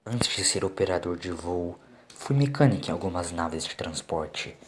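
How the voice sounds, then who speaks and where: Brazilian guy doing a brave tender spaceship mechanic dad, deep voice, audio story. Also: lively intonation, normal speed, slight background noise. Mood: hushed, confident. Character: brave, tender father and former ship mechanic.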